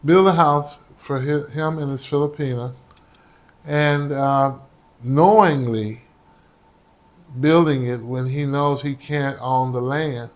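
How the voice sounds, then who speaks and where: A man's voice: medium pitch, steady tone, slow. A man co-hosting a vlog and explaining points to viewers. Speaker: vlog co-host.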